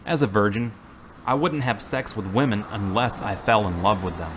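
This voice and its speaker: A middle-aged actor playing an earnest, devout man recovering from surgery in a radio drama, speaking in a measured way. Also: on the low side, fairly bright tone, slight background noise, natural voice.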